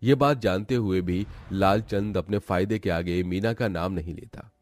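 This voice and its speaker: A man's voice: sits low, normal speed, a little mellow. A man as narrator, reading a scripted cartoon story.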